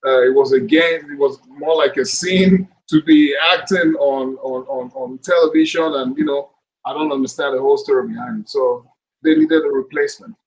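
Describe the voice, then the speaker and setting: A middle-aged man, a guest actor chatting casually on a video call, relaxed and jokey. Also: medium pitch, moderate pace, rough recording. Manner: relaxed, laughs often, jokey. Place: indoors, room with curtains. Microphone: webcam mic on video call.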